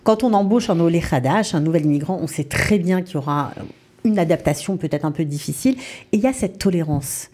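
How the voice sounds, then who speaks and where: Woman, 50s, lower voice, friendly radio host prompting her guest. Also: lively intonation, fairly quick, dark tone. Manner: friendly, prompting, listing details. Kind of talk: radio studio interview. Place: radio studio. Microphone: studio microphone with headphones.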